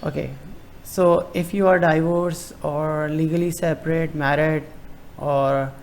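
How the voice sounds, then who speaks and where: Slow, gravelly man explaining hesitantly. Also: fairly high pitch, fairly even intonation, a little hiss or hum. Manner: hesitant, halting, many fillers and restarts. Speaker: tutorial presenter. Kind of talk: screen-recorded form walkthrough.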